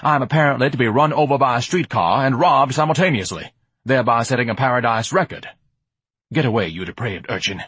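A man's voice: medium pitch, a little fast, fairly bright tone, slightly rough, no echo, pitched above the performer's own voice. A man voicing a pompous, indignant, gluttonous educated man with grandiose, haughty diction in an audiobook. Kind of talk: audiobook character acting.

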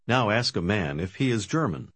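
Lowish husky male course narrator. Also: very animated, fairly bright tone, no room sound. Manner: clear, measured prompting. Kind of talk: scripted instructional narration. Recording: dry studio.

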